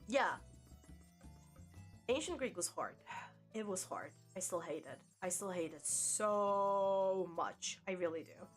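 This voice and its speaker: A woman's voice: medium pitch, a bit bright. A woman in her thirties, a native Turkish speaker, chatting casually on a livestream in a rambling, self-mocking way and swearing now and then. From Turkey. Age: 30s. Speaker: VTuber streamer.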